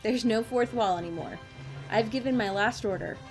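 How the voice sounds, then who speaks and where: Actress as an earnest, higher-voiced woman leader. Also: normal speed, darkish tone, lightly acted. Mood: tender. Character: sincere, commanding female leader with dry humour. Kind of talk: audio drama dialogue. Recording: music underneath.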